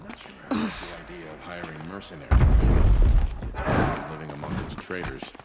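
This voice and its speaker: A man's voice: low-pitched, wildly swooping pitch, a little fast, vocal fry, full character voice. An actor playing a brooding, distrustful townsman in a video game. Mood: uneasy. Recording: music over the voice.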